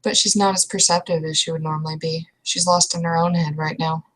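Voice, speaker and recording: A woman improvising in-character dialogue for a roleplaying session, speaking in a hesitant, halting way. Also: low voice, little pitch movement, low-quality recording.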